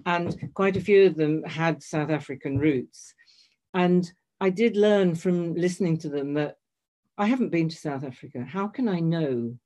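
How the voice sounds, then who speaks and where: A woman's voice: lowish voice, normal speed, dark tone. An older woman telling a long personal story in conversation, hesitant, restarting and correcting herself often. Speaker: guest.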